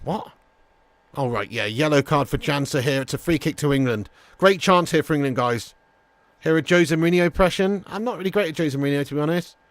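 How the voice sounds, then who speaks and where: Sweary high-voiced man hosting football watch-along. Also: animated, fairly quick, dry recording. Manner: sweary, matey, bantering. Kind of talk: casual reactive match commentary and chat.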